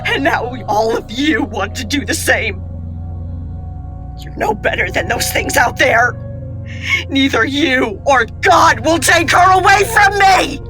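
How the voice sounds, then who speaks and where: Woman acting a high-voiced, anguished grieving mother. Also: expressive, a little slow, over music. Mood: bitter, tearful fury. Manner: anguished, emotionally theatrical delivery. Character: grief-stricken Victorian mother, defiant, clinging to her dead daughter. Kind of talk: dramatic audio drama acting.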